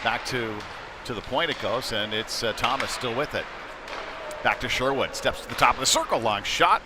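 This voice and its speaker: A man doing live, conversational play-by-play commentary of youth hockey, excitable on big plays. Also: fairly low pitch. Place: indoor ice rink, live broadcast. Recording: clear background noise of sports arena.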